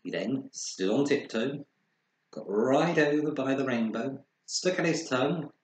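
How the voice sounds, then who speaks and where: Animated, unhurried male children's storyteller. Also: medium pitch. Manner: expressive, dramatized delivery. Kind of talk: scripted children's story narration. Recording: echoey room with faint noise.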